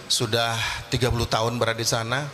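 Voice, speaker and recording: A man's voice: medium pitch, flat delivery, fast talker, fairly bright tone, a little hiss or hum. A man speaking for a charity, making a formal, polite request with religious greetings. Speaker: charity representative.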